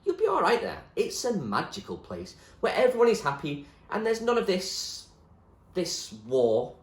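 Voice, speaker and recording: A man's voice: high voice, very animated, moderate pace, reverberant, pitched above the performer's own voice. A man playing a stubborn, idealistic and dreamy male friend in a storybook, speaking earnestly and insistently. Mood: dreamy urging. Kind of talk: acted storybook dialogue.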